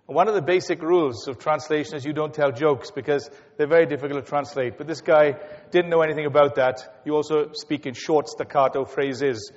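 A Welsh man preaching to a large gathering, telling stories in an easy, chatty way with little hesitations. His voice sits a touch high and rings out with a long hall echo. The sound is dull and muffled. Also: steady tone, fairly quick, hardly any pauses. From Wales. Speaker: guest speaker. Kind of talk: sermon with anecdotes.

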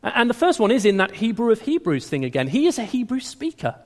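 A man preaching a sermon in a conversational, animated way, often restarting his words. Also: very high voice, fast talker. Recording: long hall reverb.

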